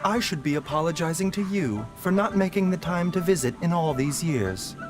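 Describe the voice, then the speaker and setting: An actor playing a calm, secretive male doctor who speaks politely and formally in a video game. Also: fairly high pitch, moderate pace, lightly acted. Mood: courteous, apologetic. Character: courteous, soft-spoken adult male doctor, calm and secretive. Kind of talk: English video game voice acting. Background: loud music, streamer talking over.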